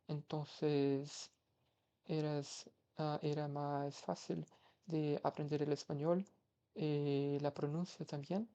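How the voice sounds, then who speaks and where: A man's voice: fairly high pitch, mellow, highs cut off. A man of twenty-one from Brazil with a Brazilian Portuguese accent, a language-learning vlogger talking hesitantly with many fillers and restarts. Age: young adult, 21. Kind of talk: casual vlog, practicing foreign languages.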